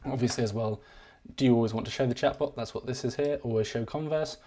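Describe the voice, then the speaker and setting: A man giving a live-streamed software walkthrough, rambling and self-deprecating, his voice wearing thin. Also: mid-range voice, quick pace. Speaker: software demo presenter.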